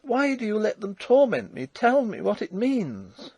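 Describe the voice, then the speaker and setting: Man doing a princess voice, pitched up, intense and regal, dry recording. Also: very animated, unhurried, a little mellow, husky, dry recording, heavily acted. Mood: anguished. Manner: lifted, earnest, emotional voice. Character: proud young princess, loving and indignant.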